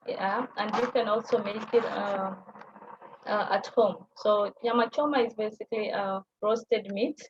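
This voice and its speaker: A young woman with a Kenyan accent, whose first language is Somali, explaining at an easy pace with many fillers. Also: mid-range voice. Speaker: guest language teacher. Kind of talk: presenting on a livestream call. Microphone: video call. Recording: muffled video call audio with light noise.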